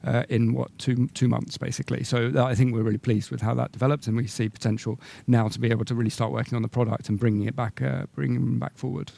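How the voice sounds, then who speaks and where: Gravelly-voiced male executive, measured and corporate. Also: medium pitch, little pitch movement, mellow. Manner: measured corporate phrasing, hesitant and repetitive. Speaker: company executive answering questions. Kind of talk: business earnings call interview answers.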